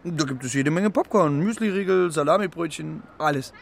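Cocky street pigeon, raised gravelly voice, brash, slangy, bossy, children's radio play. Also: male voice, fairly high pitch, normal speed. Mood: pushy, excited.

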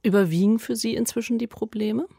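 A woman interviewing an author, asking calm, probing questions. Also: on the high side, fairly quick, gravelly, husky, no echo, clean studio sound. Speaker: radio interviewer, host. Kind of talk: radio interview.

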